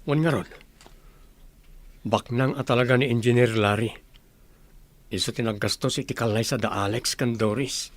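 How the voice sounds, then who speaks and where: A man's voice: medium pitch, fairly even intonation, moderate pace, long pauses, lightly acted. A man with an Ilocano accent playing a chatty, impressed village wedding guest in a radio comedy drama.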